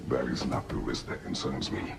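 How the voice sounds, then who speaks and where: A man playing a stern, guarded warrior father in a video game, speaking in terse, formal, gravelly phrases. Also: moderate pace, natural voice. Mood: wary. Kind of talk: dramatic video game acting.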